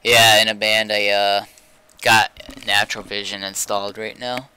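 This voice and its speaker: A man's voice: on the low side, level tone, moderate pace, sharp tone, smooth, dry recording. A man chatting casually and muttering to himself as he plays a game on stream. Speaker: streamer playing a zombie survival game. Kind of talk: casual gaming chat.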